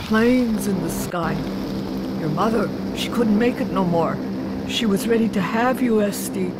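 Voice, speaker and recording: A woman's voice: medium pitch, heavy background noise, natural voice. Woman voicing a grave refugee father, German words. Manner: slips German words into his talk, grave and hushed. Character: weary refugee father telling his daughter her birth. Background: music.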